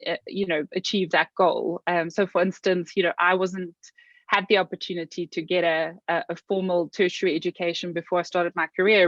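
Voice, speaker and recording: A South African woman with a fairly low voice speaks earnestly and reflectively, often pausing and restarting. The recording is dry and close, with a slightly muffled, dull sound. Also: a bit bright, no room sound. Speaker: guest. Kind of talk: interview conversation.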